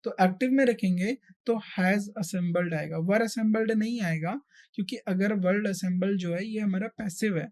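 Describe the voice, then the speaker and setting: Female teacher, Indian accent, mid pitch, explains patiently point by point with repetition and quick check questions. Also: darkish tone. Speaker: exam-prep English grammar teacher. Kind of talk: explanatory lesson delivery, mixing Hindi and English.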